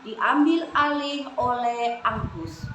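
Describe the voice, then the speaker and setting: A woman tells a folk tale to young listeners, often turning to them as friends. Her voice is high and her pace slow. She sounds like she is in an echoey room with steady noise behind her. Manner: addresses listeners as friends, expressive. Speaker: storyteller for children. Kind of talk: children's storytelling.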